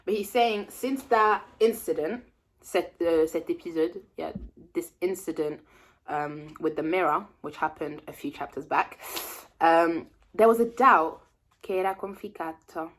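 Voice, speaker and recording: Young woman doing a casual read-along, lowish voice, stops to guess words. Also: low-quality recording. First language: French. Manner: reads slowly, pauses to translate and guess words. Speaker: language learner reading aloud.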